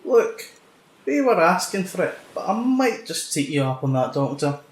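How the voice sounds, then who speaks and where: A man acting in a fan audio drama as a stubborn, battle-worn, sheepish fellow with a halting, subdued delivery in a roomy space. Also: high voice, sing-song. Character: Lance, stubborn, battle-worn, sheepish young soldier's brother. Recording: roomy echo, faint noise and ambience.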